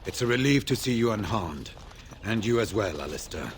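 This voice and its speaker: An actor playing a grave veteran warden scout in a fantasy video game, speaking in a formal, measured way. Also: male voice, fairly low pitch, lively intonation, a little hiss or hum.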